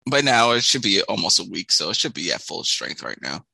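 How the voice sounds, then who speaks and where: Gravelly, nasal man with a bright edge. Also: sits low, sing-song, no room sound. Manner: halting, restarts phrases. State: voice still recovering from shouting. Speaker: podcast co-host. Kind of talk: casual podcast chat.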